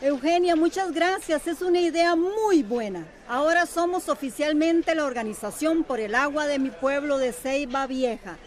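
A woman's voice: high-pitched, moderate pace, few pauses, close to an everyday voice. A woman playing an encouraging community member in a radio drama.

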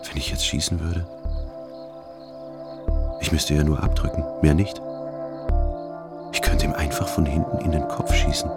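Radio drama narrator: detached, ruminating man, very low and creaky. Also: flat delivery, loud music behind the voice. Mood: cold, brooding. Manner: sober, introspective, deliberate. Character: brooding, detached first-person male narrator, coldly ruminating. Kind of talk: scripted first-person radio drama narration.

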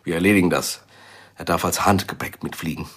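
Deep, husky intelligence man. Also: brisk, a bit bright. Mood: measured, guarded. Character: guarded Norwegian intelligence man, measured and businesslike client. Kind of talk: radio crime drama acting.

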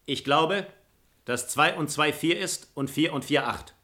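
An actor playing a cynical, haughty master, terse and mocking, in a German radio comedy. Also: male voice, on the high side, measured pace, pushed and bright. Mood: dismissive.

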